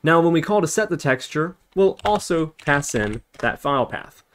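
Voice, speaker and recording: A man's voice: a little high, animated, moderate pace, a bit bright, a little hiss or hum. Man narrating a coding tutorial. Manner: explanatory, thinking aloud while typing. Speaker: programming tutorial instructor. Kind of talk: step-by-step screencast tutorial narration.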